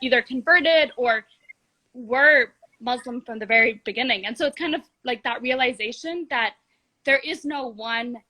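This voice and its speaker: A woman's voice: a little high, moderate pace, bright, forceful tone, poor audio. A teenage girl from Egypt, a youth activist, speaking earnestly and fluently as a guest in a live video-call interview. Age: teens.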